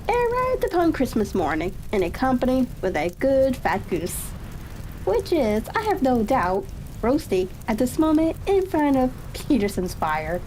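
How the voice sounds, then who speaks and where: Female ASMR story reader, warm and relaxed tone, unhurried halting delivery, light crackling ambience underneath. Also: sits high. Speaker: audiobook reader. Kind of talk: calm ASMR story reading. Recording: steady rain-like crackling ambience behind voice. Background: fireplace crackle and ambient noise.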